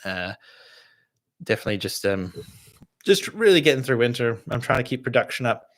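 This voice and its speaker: A Canadian man with a North American accent, hosting a casual livestream and answering viewer questions in a relaxed, rambling way. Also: medium pitch, a little fast. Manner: relaxed and rambling, with many fillers and restarts. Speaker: distiller hosting a livestream tasting.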